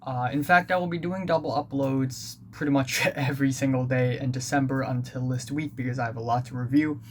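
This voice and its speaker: A man's voice: medium pitch, steady tone, a little fast, noisy background. A teenage man from Hong Kong with a Hong Kong accent, Cantonese his first language, rambling through a blunt, casual album review with lots of fillers. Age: teens. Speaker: music reviewer.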